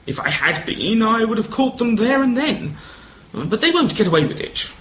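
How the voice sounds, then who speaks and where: Man playing a courtly high-voiced old colonel. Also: very animated, normal speed, fairly bright tone, poor audio. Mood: dismissive, indignant. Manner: genteel, old-fashioned gallantry. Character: courtly, proud older retired colonel and lily grower. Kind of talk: amateur radio play acting.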